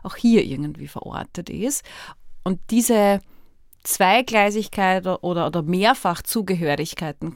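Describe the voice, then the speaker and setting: Thoughtful Austrian woman, low, dry. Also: no room sound. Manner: thoughtful, searching for words, Austrian expressions. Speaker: podcast host. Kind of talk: reflective conversational interview.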